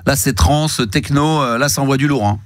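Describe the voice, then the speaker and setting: A man hosting a radio call-in show, teasing the caller with playful jokes and deliberate mishearings. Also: medium pitch, no room sound, clean studio sound. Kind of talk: joking call-in banter. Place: radio studio. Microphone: studio microphone.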